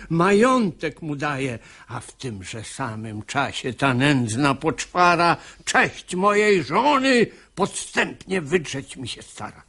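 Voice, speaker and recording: High-pitched, exasperated master of the house. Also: male voice, fairly bright tone, full character voice. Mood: bitter indignation. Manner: blustering, emphatic. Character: exasperated, hot-tempered father and master of the house. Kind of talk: theatrical radio drama acting in verse.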